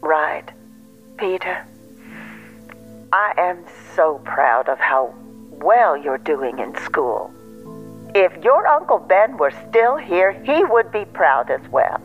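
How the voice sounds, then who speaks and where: A woman plays a gentle, affectionate old aunt in an audio drama. Her delivery is slow and creaky yet lively in pitch, reassuring and tender, coming through a tinny telephone line. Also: faint music behind, heavily acted. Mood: tender, proud. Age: older. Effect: telephone filter.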